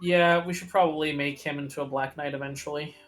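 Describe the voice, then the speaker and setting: A man with a fairly high voice talks casually over a video game, tossing in joking remarks as a co-commentator. Soft music plays behind him. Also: steady tone, a little fast. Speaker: co-player and commentator on a game series.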